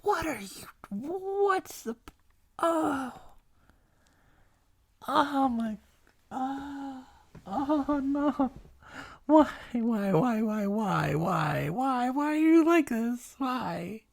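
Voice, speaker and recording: A woman giving a casual, expressive recap of a video game, reading out text and reacting with exasperation and swearing. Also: high-pitched, very slow, long pauses.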